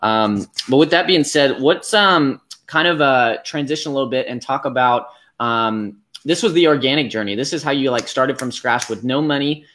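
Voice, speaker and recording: A young man hosts a live business chat over a webcam from a small, dim room. His voice sits at an ordinary middle pitch and he talks loosely, leaning on "like" and "um" as he works through his points. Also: moderate pace, hardly any pauses. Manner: relaxed, many fillers. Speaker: business coach host. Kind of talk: live video call interview. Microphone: webcam on video call.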